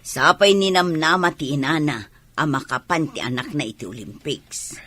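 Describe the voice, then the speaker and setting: A hopeful village parent with a husky, forceful voice and an Ilocano Filipino accent. The radio drama delivery is wistful and slightly high in pitch. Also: male voice, moderate pace.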